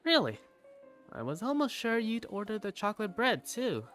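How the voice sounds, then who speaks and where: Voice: mid-range voice, very animated, normal speed, fairly bright tone. A performer playing a bookish, teasing student who narrates school life in a visual novel, with music behind. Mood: playful teasing. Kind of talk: first-person visual novel narration and dialogue.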